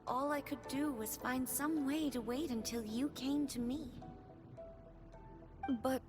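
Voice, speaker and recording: A woman voicing a gentle, warm-hearted former goddess queen in a game, speaking softly and tenderly. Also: high voice, fairly even intonation, big-room sound. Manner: soft, tender, measured, solemn in ritual lines. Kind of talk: game cutscene voice acting. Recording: game audio with music.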